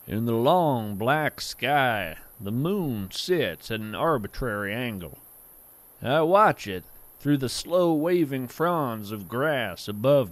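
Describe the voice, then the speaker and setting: A man playing a genial, whimsical naturalist who hosts a fiction podcast, narrating in a gentle, earnest, literary manner. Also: mid-range voice. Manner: measured, gentle, earnest delivery with florid wording. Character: genial, whimsical male naturalist hosting strange creatures by campfire.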